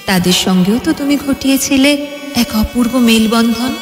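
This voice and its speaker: A woman's voice: on the high side, close to an everyday voice. A woman playing an ageless, curious and compassionate spirit of time in an audio drama, speaking with dramatic wonder. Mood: admiring wonder. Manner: wondering, dramatic, measured delivery. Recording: echoey hall-like reverb under music.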